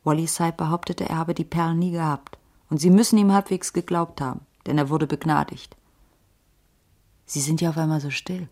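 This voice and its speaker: Low, gravelly, world-weary streetwise woman. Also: fairly even intonation, normal speed, warm tone. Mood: insistent, knowing. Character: careworn, streetwise female ex-cop with a tip. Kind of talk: radio drama dialogue, hard-boiled crime.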